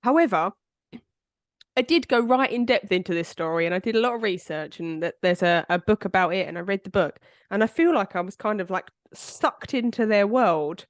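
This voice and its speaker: A woman's voice: medium pitch, expressive, normal speed, dry recording. Woman, congested, chatty, rambling, fillers, dry close sound. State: congested, bunged-up voice. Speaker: podcast host. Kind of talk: casual true-crime storytelling chat.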